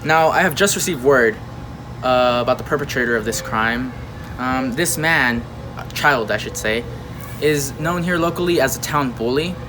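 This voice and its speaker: A man playing an earnest, halting local news reporter in an amateur mock report. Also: mid-range voice, over music, close to an everyday voice. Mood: grave. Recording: noisy amateur recording, loud background hum.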